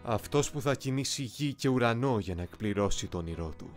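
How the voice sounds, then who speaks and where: A man playing a young, eloquent, coolly ambitious soldier in an anime fan dub, speaking in a formal, measured, philosophical way. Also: medium pitch, expressive, close to an everyday voice. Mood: cool, resolute. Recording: music behind the voice.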